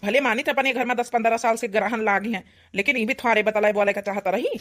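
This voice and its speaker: A woman's voice: on the high side, steady tone, quick pace, close to an everyday voice. A woman voicing a suspicious, worried young village husband with an Awadhi accent, muttering and exclaiming in a comedy cartoon. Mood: earnest, grumbling.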